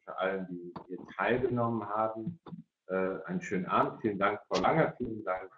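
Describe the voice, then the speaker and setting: A middle-aged or older man moderating an online discussion, speaking haltingly and often repeating words. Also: lowish voice. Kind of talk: hosting an online parents' evening discussion. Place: indoor room, video call. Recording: roomy, dull video-call audio.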